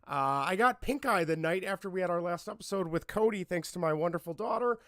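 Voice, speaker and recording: A podcast host in his thirties with a fairly high voice, roughened and hoarse from laryngitis. He talks in a chatty, rambling, self-deprecating way. The sound is dry and close. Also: lively intonation, a little fast, a bit bright, dry recording. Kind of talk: casual podcast chat.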